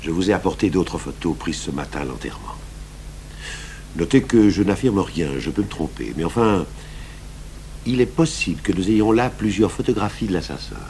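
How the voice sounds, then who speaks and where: Thoughtful stubborn police commissioner in a radio play, low voice that moves around a lot, hesitant, hummy old tape. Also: male voice, expressive, a little mellow. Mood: measured, persuasive. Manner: hesitant, deliberate, pausing mid-thought. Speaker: actor playing a police commissioner. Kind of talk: French radio crime drama acting. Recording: noisy 1980s radio recording with hum.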